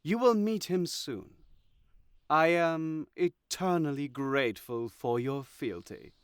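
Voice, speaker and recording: A man's voice: fairly high pitch, slow pace, clean tone, dry recording, clean studio sound. A man playing a god of deception who speaks in a cryptic, patronizing manner in a fantasy audio drama. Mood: coy, assured. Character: male god of deception, coy and condescending.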